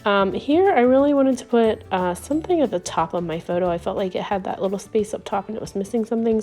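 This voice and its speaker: A woman hosting a scrapbooking video, talking casually over her crafting with a hoarse voice that is giving out from illness and lots of ums and little laughs. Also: on the low side, warm tone. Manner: rambling, chatty, frequent ums and little laughs.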